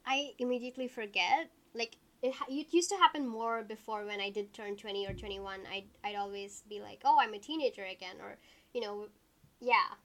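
A woman in her early twenties chatting casually as a podcast co-host, rambling with lots of fillers and quick asides. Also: mid-range voice, fairly bright tone. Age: 20s. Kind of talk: casual chat.

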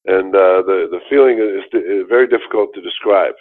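Brief: older man, very low voice, rambling storyteller, heard over a tinny phone-like line. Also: brisk. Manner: rambling, with many restarts and fillers. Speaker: guest telling his life story. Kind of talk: casual storytelling interview.

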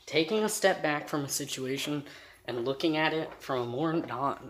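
A man with a high voice giving an earnest, rambling personal monologue to camera, trailing off into fillers as he thinks aloud. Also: a little hiss or hum. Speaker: vlogger.

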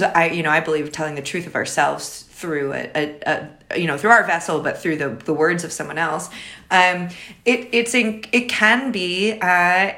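Woman, 30s, raspy low alto, loose chatty storyteller on a podcast. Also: bright, forceful tone. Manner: rambling, many fillers and restarts. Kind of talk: casual podcast conversation.

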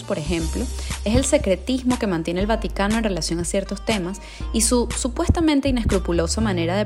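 A woman's voice: medium pitch, echoey room. A woman from Venezuela hosting a podcast interview, asking questions with frequent tag questions. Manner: measured, warm-toned questions with frequent "¿no?" tags. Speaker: podcast host.

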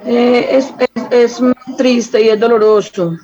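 A woman with a Colombian accent from Antioquia, a guest designer answering in an interview in a halting, word-repeating way. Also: on the high side, fairly even intonation, warm tone. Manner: halting, repeats words while searching. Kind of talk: unscripted interview talk. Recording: dull, muffled lo-fi line.

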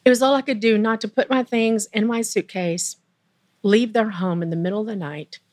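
Earnest woman with a low voice. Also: a little mellow. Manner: emotional, earnest, pauses and restarts. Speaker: interview guest. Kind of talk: personal storytelling in conversation.

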